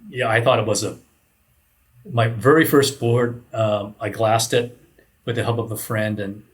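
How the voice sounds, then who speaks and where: A man in his sixties from Hawaii speaks with an average-pitched voice. He tells his stories as an interview guest, rambling a little and poking fun at himself in a conversational way. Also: fairly bright tone. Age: 60s. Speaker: surfboard shaper, podcast guest.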